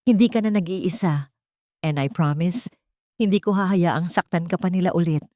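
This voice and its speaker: A woman voicing a gentle, devoted man in a romantic audio story, soft-spoken and earnest. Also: on the low side, moderate pace, dry recording, clean studio sound, close to an everyday voice. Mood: calm, reassuring. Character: gentle, devoted young man comforting his beloved.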